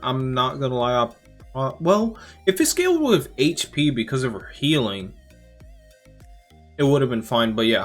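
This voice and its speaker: A young man giving casual reaction commentary as a gaming creator, thinking aloud in a conversational way. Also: mid-range voice. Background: music.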